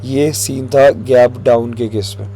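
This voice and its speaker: A man with a hoarse throat, walking viewers through stock chart levels in a relaxed tutorial, mixing in English phrases. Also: mid-range voice, a little slow, soft background music. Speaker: stock market analyst.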